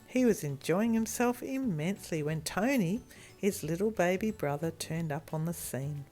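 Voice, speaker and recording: A woman reading a children's story in an expressive storytelling manner. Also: on the low side, faint music behind. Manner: animated, deliberate storytelling with acted character voices.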